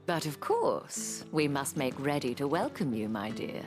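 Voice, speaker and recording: A shrewd, seductive madame in a fantasy game. She speaks slowly in a breathy voice, formal and teasing, with complete poise, over soft music. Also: a bass voice, very animated, full character voice. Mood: haughty, composed. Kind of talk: dramatic video game acting.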